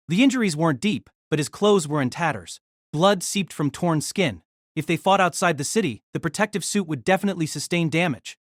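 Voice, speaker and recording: A man's voice: fairly high pitch, no room sound, studio quality. A man narrating a fantasy novel as a dramatic, scripted audio drama.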